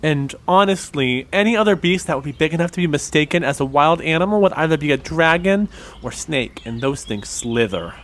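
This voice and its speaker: A man playing a thoughtful young folklore buff in an audio drama, explaining things in a measured, conversational way. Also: fairly high pitch, expressive, slight background noise, natural voice.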